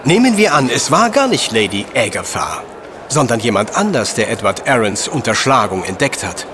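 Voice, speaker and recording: Man playing an animated, superior professor detective. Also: medium pitch, normal speed, background noise, close to an everyday voice. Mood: musing, deducing. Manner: precise, didactic, superior. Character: brilliant, self-assured professor detective, deducing coolly. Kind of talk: German audio drama acting.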